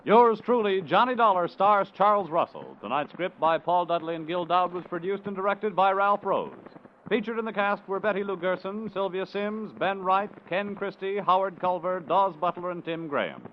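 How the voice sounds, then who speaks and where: A man's voice: high voice, normal speed. An American man announcing in polished 1940s network radio style, reading scripted credits and promos. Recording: dull vintage radio recording, loud music.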